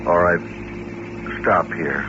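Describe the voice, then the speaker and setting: An actor playing a bitter, lonely mutant with hypnotic powers in an old-time radio drama, intense and commanding. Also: male voice, low voice, steady tone, slow, lo-fi sound. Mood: resigned, commanding. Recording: noisy, band-limited vintage radio recording.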